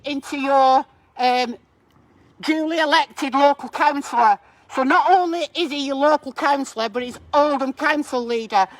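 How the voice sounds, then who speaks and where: A woman's voice: high voice, fairly bright tone, low-quality recording. A woman gives a confrontational, accusatory protest speech out on a street, addressing bystanders through a megaphone. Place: outdoors on a residential street.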